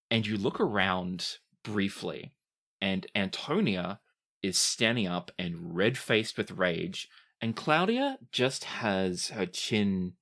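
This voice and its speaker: A woman with a very low voice narrates a tabletop game as its game master. Her pitch moves a lot as she tells the story at an unhurried pace.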